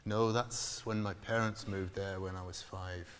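A man's voice: deep voice, steady tone, a little slow. A middle-aged man, an astronomer from England who grew up in Scotland, answering onstage questions in a mild, hesitant way with dry wit. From England, raised in Scotland. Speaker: astronomer guest. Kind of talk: onstage interview. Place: hall with live audience. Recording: echoey hall.

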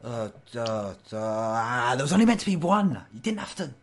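A man's voice: medium pitch, big pitch swings, normal speed. A man voicing a cornered male thief who stammers and pleads nervously. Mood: pleading. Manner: rambling, jittery, stammering.

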